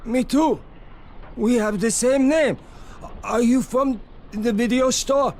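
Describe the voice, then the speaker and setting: A man's voice: extremely high pitch, slow, full character voice. An actor playing a childlike, stubborn grown man devoted to his mother, who repeats himself in simple phrases. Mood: childlike, insistent. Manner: repetitive, sing-song rhyming, simple childlike phrasing.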